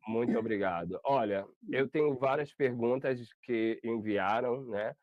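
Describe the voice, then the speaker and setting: A man's voice: mid-range voice, unhurried, dry recording. A Brazilian man hosting an interview over a video call, chatty and rambling, often correcting himself. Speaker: interview host. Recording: dull lo-fi video call audio.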